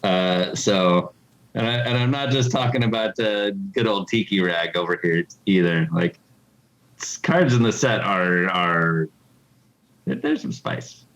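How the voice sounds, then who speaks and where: Guy with a lowish voice doing a casual interview, kinda wry and teasing. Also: animated, unhurried, fairly bright tone, poor audio. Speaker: game developer, interview guest.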